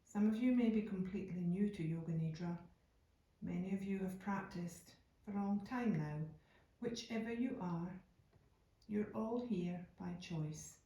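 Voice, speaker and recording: Mellow woman guiding meditation in echoey room. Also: mid-range voice. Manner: calm, unhurried, soothing, with deliberate pauses. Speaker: yoga nidra meditation guide. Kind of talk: slow guided meditation narration. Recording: echoey room, distant from microphone.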